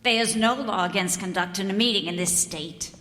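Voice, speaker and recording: An actress playing a cool, suspicious assistant in a radio detective drama, blunt and guarded. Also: female voice, medium pitch, moderate pace, bright, forceful tone, lightly acted. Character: cool, suspicious, hard-edged female shop assistant. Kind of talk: old-time radio detective drama acting. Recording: noisy, reverberant hall recording.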